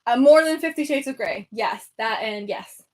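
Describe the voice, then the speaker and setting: A woman's voice: a little high, moderate pace, darkish tone. Chatty young woman with a Venezuelan accent. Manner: chatty, rambling, lots of fillers. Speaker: book vlogger. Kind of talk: casual chat with live viewers. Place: home room by bookshelves.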